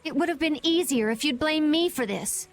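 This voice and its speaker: An earnest young lady knight from an anime game. She sounds stiff and hesitant in a fairly high voice, with music playing softly. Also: animated, normal speed. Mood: guilty, hesitant. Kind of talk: English dub of anime role-playing game.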